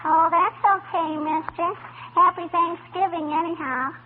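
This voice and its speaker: An extremely high little-girl voice, cheerfully persistent and full of mischief. She prattles like a schoolchild telling stories in an old radio comedy. The sound is thin and narrow, with some background noise. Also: steady tone, measured pace. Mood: innocent, chirpy. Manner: childish, breathless storytelling with mangled words and verbal tics. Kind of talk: 1940s radio comedy acting. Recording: tinny, noisy old radio recording.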